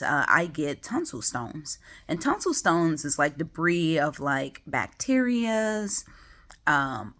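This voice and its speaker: A woman's voice: low voice, sharp tone. A woman with a congested, sniffly voice talking casually to camera at home, rambling with many ums. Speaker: vlogger. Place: home, just woke up.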